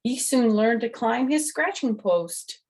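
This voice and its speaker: An older woman reading a picture book aloud to children, gently explaining with chatty asides and questions to the listener. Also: fairly high pitch, lively intonation, slow, breathy edge. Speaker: storyteller and host.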